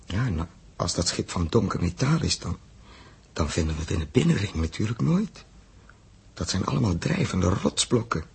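Male, grave space captain, low and very husky, deliberate, old sci-fi radio play. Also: very animated, full character voice. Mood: grave wonder. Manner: weighty, deliberate, halting over phrases. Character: earnest spaceship captain, solemn and resolute, awed by space. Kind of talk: 1960s Dutch science fiction radio drama acting.

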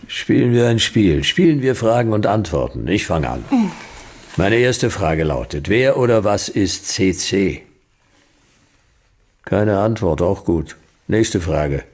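An actor playing a laconic, dryly ironic private detective in a radio drama, terse and deadpan. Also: male voice, slow, full character voice. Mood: dry probing. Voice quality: deep, gravelly. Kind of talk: noir radio drama narration and dialogue.

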